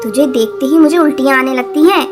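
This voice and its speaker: A woman's voice: high-pitched, fast, natural voice. A woman voicing a harsh, scornful mother bird in a cartoon story, scolding with contempt. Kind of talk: dubbed cartoon story acting. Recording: music behind the voice.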